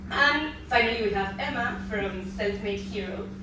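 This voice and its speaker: A woman in her thirties from Peru introduces a live panel. She sounds a bit nervous and halting, with frequent ums and restarts. She is heard from a distance in a very echoey room over steady background noise. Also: medium pitch. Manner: hesitant, many ums and restarts. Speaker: panel moderator.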